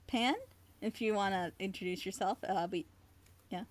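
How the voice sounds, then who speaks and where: A woman hosting a casual group reaction chat in a playful, excitable way, talking over a group call. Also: mid-range voice, normal speed. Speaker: host, co-director of a fan audio drama.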